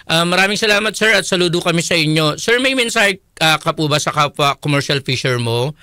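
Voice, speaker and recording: Male Filipino radio co-host, conversational, crisp resonant voice, very dry recording. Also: on the high side, expressive, brisk, sharp tone, no echo. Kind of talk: conversational radio interview hosting.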